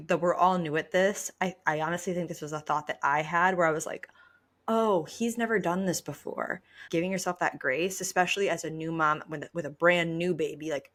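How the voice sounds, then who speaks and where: A young adult woman speaks as a podcast co-host in a slightly low-pitched voice. She gives casual, conversational advice, leaning on key phrases with informal emphasis.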